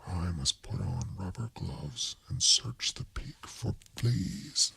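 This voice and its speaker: A meek, henpecked dead husband from a radio play, read by a man. He recites dutifully in a low whisper, creaky and full of breath. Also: takes it slowly, pushed and bright, dry recording, heavily acted. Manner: dutiful, listing.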